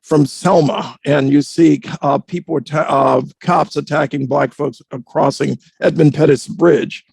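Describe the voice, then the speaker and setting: A man speaking as a reporter on a discussion panel, reflective, with deliberate, halting, measured phrasing. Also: on the high side, fairly even intonation, vocal fry, a little mellow, breathy edge, no room sound.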